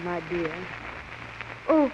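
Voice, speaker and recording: An actress playing a young damsel heroine with breathless, melodramatic delivery in a 1930s radio serial, on a hissy old recording. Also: female voice, fairly low pitch, big pitch swings, drawn-out words, lo-fi sound, exaggerated voice. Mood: tender.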